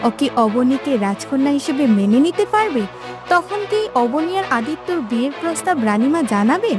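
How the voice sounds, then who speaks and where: চড়া, প্রাণবন্ত গলার নারী কথক. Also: female voice, high voice, sing-song, fairly quick, music in the background. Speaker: narrator. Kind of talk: scripted cartoon outro narration.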